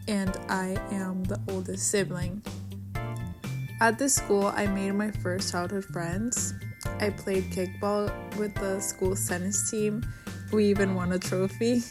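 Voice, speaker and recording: A woman of twenty from Venezuela, a native Spanish speaker, cheerfully narrating her personal story for a vlog. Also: mid-range voice. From Caracas, Venezuela. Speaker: vlogger. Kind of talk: personal narration over a drawing video. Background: music.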